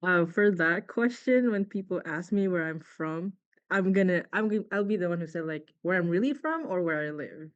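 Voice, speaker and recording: Girl student chiming in on online class, smooth voice, casual n hesitant, kinda dull audio. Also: lowish voice, expressive, moderate pace. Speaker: student participant. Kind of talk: casual, hesitant personal sharing. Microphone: video call.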